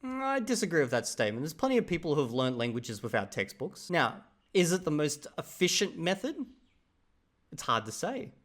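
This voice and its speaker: An Australian man hosting his channel, giving relaxed, opinionated commentary on another creator's video. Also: a little high, a little fast. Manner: relaxed, opinionated, chatty asides. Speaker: channel host reviewing a video. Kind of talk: casual commentary.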